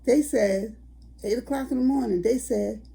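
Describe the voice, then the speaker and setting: Raspy older woman chatting to viewers. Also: a little high, moderate pace, mellow. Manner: chatty, addresses viewers as y'all. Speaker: vlogger. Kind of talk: casual storytime vlog.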